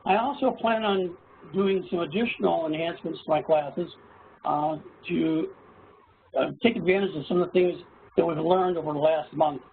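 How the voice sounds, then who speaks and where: A middle-aged hoarse man, a college instructor, presenting haltingly with many fillers in an online workshop. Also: on the high side. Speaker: college instructor presenting his action plan. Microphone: video call. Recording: tinny, phone-like call audio with faint noise.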